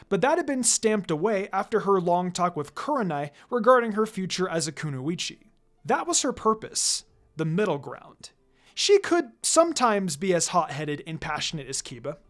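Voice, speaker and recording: A narrator reading fan fiction aloud in an expressive, scripted storytelling style. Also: medium pitch. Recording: music behind the voice. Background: background music.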